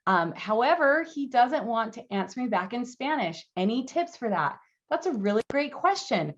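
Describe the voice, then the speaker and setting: A woman's voice: fairly high pitch, very animated, normal speed, muffled recording. Upbeat woman hosting a live webinar. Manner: upbeat, encouraging, many ums and likes. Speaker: language program host.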